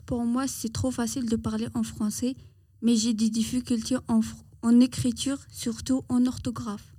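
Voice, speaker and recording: A woman gives interview answers as a student. Her voice is husky and soft, with a flat intonation. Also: a little high, normal speed, no echo. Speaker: language student being interviewed.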